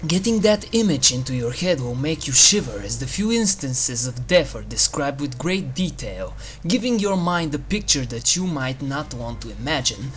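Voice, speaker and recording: A narrator reading a scripted list review with drawn-out, theatrical phrasing and dry humour, as a video host. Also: medium pitch, big pitch swings, a little hiss or hum. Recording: music under the voice. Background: eerie game soundtrack music.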